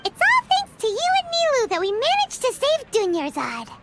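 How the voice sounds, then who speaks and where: A woman voicing a tiny, childlike, excitable floating companion in a video game, squeaky, perky and a little bossy. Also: normal speed, heavy background noise, heavily acted. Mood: curious. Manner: speaks of self in third person, perky. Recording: game dialogue over clear background music. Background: music.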